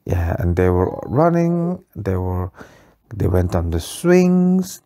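Very deep, slow, mellow storyteller. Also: very animated. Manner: patient, simple phrasing, often pausing to answer a child. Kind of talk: improvised children's bedtime storytelling.

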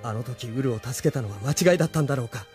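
An actor playing an earnest, self-doubting young pilot hero in an anime audio drama. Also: male voice, mid-range voice, very fast, a little mellow, lightly acted. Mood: troubled. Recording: voice under music.

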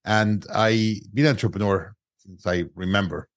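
Mexican-accented man, low dry voice. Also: steady tone, normal speed, dry recording. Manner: non-native grammar, frequent restarts and "uh" fillers. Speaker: guest entrepreneur. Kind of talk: conversational interview storytelling.

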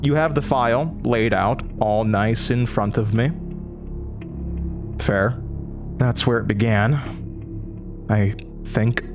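A man performs a young American horror radio host in an audio drama, weary and sardonic. He talks slowly at an ordinary male pitch, with a dry, halting delivery. Music plays clearly behind his voice. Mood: reluctant, uneasy. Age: 20s.

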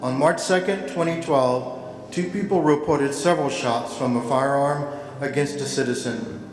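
A man's voice: medium pitch, moderate pace, a little hiss or hum. An older man reads prepared text aloud solemnly as a memorial volunteer. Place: church lectern, indoors. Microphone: lectern gooseneck microphone. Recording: echoey church hall, faint music.

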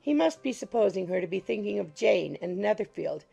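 Creaky, husky female audiobook narrator. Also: mid-range voice, expressive, warm tone, faint music behind. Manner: measured, formal storytelling. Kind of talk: scripted literary narration.

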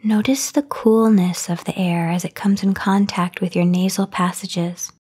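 A woman guiding a sleep meditation in a soft, breathy half-whisper, soothing and unhurried, with long pauses. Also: medium pitch, fairly even intonation. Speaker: meditation guide. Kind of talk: guided sleep meditation narration, soft-spoken. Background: voice only, no music or background noise.

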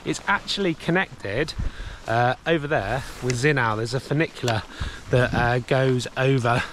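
A man's voice: mid-range voice, unhurried, background noise. A man in his thirties vlogging casually to an action camera held at arm's length, outdoors in a snowy mountain village, chatty and upbeat with frequent filler words. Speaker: snowboarding vlogger.

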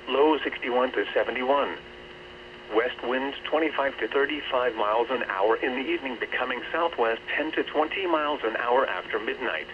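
A synthetic man's voice reading a scripted weather bulletin in a formal, measured manner over radio. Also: medium pitch. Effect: radio transmission. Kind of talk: scripted weather forecast and observations. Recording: noisy, dull weather radio reception.

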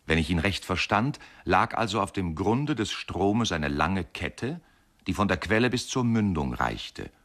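Radio-play narrator: reflective man, low register, measured and expressive literary storytelling. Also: exaggerated voice. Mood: puzzled, wondering. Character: reflective male narrator recalling a riverside boyhood.

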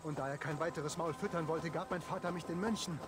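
An actor playing a nervous, boastful, stuttering monk who poses as a pompous lord in a video game. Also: male voice, fairly high pitch, fairly even intonation, fast, background noise, close to an everyday voice. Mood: anxious, self-deprecating. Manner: stutters when nervous, blustering. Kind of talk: dramatic video game dialogue.

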